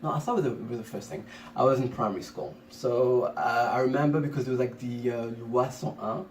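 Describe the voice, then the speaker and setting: A man from Congo talking loosely in a casual chat, circling back and restarting his sentences. His voice sits at an ordinary pitch and sounds set back from the microphone. The room around him rings noticeably, with a faint hiss underneath. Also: fairly quick, a little mellow. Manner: rambling, many restarts and fillers. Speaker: podcast co-host. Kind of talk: casual conversation. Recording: roomy, distant mic, faint noise.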